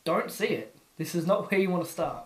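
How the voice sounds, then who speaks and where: A man's voice: sits high, lively intonation, brisk, reverberant. Blunt man co-hosting a podcast. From Australia. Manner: blunt, critical. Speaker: podcast co-host. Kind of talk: casual film review chat.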